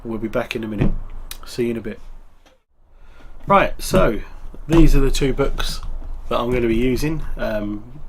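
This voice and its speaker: A man's voice: medium pitch, normal speed, a little hiss or hum. A man with a cold and a stuffy nose, hosting a wargaming hobby channel and chatting casually with lots of ums. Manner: relaxed, rambling, full of ums. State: congested with a cold. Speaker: tabletop wargaming channel host. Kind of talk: casual hobby show-and-tell.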